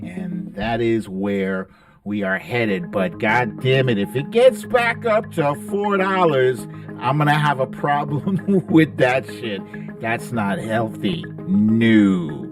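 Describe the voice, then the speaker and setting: A middle-aged man hosting a casual livestream, chatting with viewers in colloquial, salty phrasing on a close broadcast mic. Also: medium pitch. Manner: animated, colloquial, salty street-talk phrasing. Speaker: livestream host. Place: home studio room, indoors. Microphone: close broadcast mic on boom arm.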